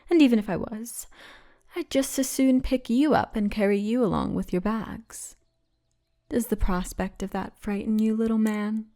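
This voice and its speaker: A woman's voice: a little high, normal speed, mellow, natural voice. A woman playing a big, confident female orc militia officer who teases as she guides, in an ASMR roleplay with formal phrasing. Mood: teasing, playful. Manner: teasing, confident, formal turns of phrase, often breathy murmur.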